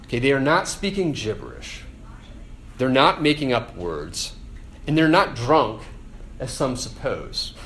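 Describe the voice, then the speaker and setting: Casual, animated man preaching a sermon. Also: mid-range voice, unhurried, slight background noise. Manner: conversational, animated, frequent "like" and "right?".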